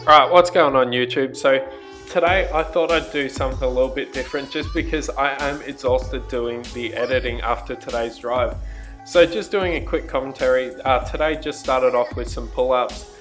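A man's voice: medium pitch, normal speed, fairly bright tone, soft background music. A man in his twenties with an Australian accent, chatting casually as a fitness vlogger. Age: 20s. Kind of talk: casual vlog chat and workout commentary.

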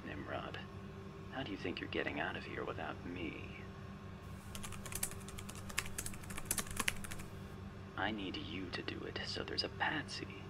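A man voicing a vengeful, coldly manipulative handler in a whispery, gravelly ASMR roleplay, taunting and deliberate. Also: sits low, poor audio. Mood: coldly threatening. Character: menacing, vengeful male infiltration handler, mocking and coldly manipulative. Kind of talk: ASMR roleplay, scripted one-sided drama.

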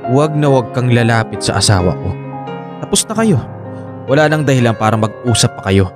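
A protective young Filipino husband in a radio drama, played by a man, with a mid-pitched voice. Music runs clearly behind his lines. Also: lively intonation, brisk, lightly acted. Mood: jealous warning. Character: possessive, jealous young husband, firm and protective.

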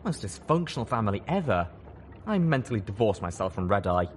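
A man narrating a first-person story as an expressive audiobook reader. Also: lowish voice, a little fast, a little hiss or hum.

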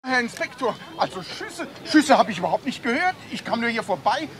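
An actor playing a flustered, excitable male passer-by giving a witness account in a crime drama. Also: extremely high pitch, fairly quick, a little hiss or hum, heavily acted. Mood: agitated.

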